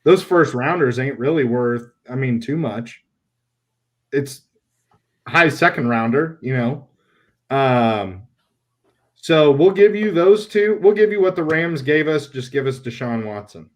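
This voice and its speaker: A man hosting a casual sports talk show, animated and blunt, with a loose, joking delivery. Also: medium pitch, a little slow. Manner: animated, laughs, swears casually. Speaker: podcast host.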